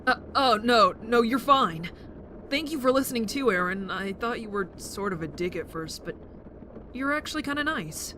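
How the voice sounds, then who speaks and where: A woman acting a thoughtful, soft-hearted teenage boy with dry wit, speaking gently and hesitantly in an audio drama. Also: on the high side, animated, normal speed. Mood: gentle, teasing. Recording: clear vehicle-like background noise.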